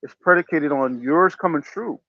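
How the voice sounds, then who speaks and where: Emphatic male host, preachy and repetitive, fairly high voice, lo-fi dry recording. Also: moderate pace, gravelly, pushed and bright, no room sound. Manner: preachy, emphatic, repeats phrases. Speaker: podcast host. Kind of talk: motivational casual talk. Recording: dull lo-fi sound.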